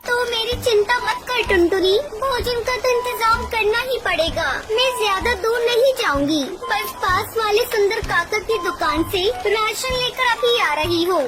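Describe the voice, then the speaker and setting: A woman voicing a caring, anxious mother bird in an animated children's story. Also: very high voice, measured pace, few pauses. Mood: worried, caring. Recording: music behind the voice.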